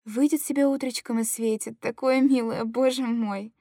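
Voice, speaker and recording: A woman's voice: on the high side, steady tone, moderate pace, mellow, no room sound, studio quality, natural voice. A woman playing an anguished, tender mother who coos simple, repetitive stories to her little boy in a dramatic monologue. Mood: tender, aching. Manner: cooing, repetitive, childlike storytelling to a small child. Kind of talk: dramatic literary monologue reading.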